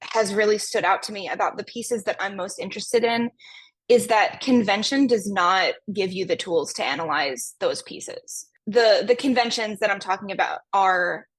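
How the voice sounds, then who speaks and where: Husky woman, academic guest. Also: mid-range voice, normal speed. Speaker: podcast guest, music professor. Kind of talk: conversational academic explanation.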